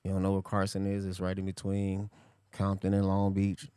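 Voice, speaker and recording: A middle-aged man from California talks easily as a guest, with a lowish voice. He laughs a lot and says things twice. The sound is dry and close, with no room around it. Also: completely flat delivery, normal speed, mellow, clear, resonant tone, dry recording. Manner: laid-back, laughs often, repeats phrases. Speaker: guest comedian. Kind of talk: casual interview chat.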